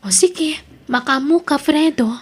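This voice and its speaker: Casting: actress as young female student and girlfriend, high voice, audio drama. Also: unhurried. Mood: polite, guarded. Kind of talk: Ilocano audio drama acting.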